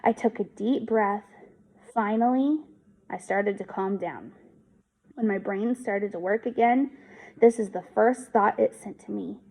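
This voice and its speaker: A woman teacher reading a children's book aloud in an expressive storytelling style. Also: mid-range voice, unhurried, gravelly, low-quality recording.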